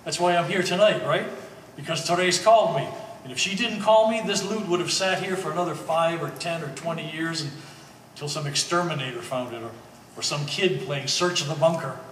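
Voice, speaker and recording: Actor as easygoing reasonable guy talking things through, slightly high voice, distant in an echoey room. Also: a little fast, fairly bright tone, close to an everyday voice. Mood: earnest. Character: reasonable, easygoing man brokering a family dispute. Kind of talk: live stage play acting. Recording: echoey hall, distant mic, faint noise.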